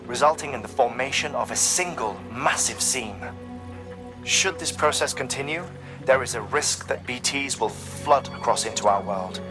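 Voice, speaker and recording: A man playing an erudite, deliberate scientist in a video game, explaining a grave theory with formal, precise phrasing. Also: mid-range voice, lightly acted. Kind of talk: scripted dramatic game dialogue.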